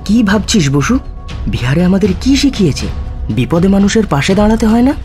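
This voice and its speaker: A man's voice: high voice, sing-song, a little fast, a little mellow. A man playing an earnest, thoughtful young monk in an audio drama. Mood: urging. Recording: music under the voice.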